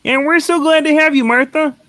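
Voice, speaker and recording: A man's voice: very high-pitched, fairly even intonation, a little fast, sharp tone, no echo. A man playing a kindly, affectionate, genial family father in a radio play reading. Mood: cheerful, affectionate. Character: Bob Cratchit, kindly, gentle family father.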